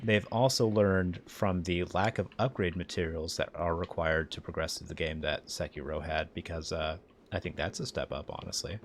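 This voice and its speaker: A young man with a mixed Southern and Midwestern American accent, chatting excitably and rambling in a self-mocking way while streaming a game. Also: low voice, normal speed, slight background noise. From United States. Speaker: livestreamer. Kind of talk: casual live gameplay commentary.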